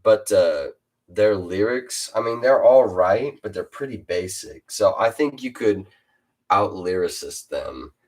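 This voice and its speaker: A teenage boy with a super deep, low-pitched voice hosts a laid-back chat. He hesitates a lot, fills gaps with "uh," and restarts his sentences as he goes. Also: expressive, unhurried, a little mellow. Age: teens. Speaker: host interviewing a fellow rapper. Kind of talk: casual chat.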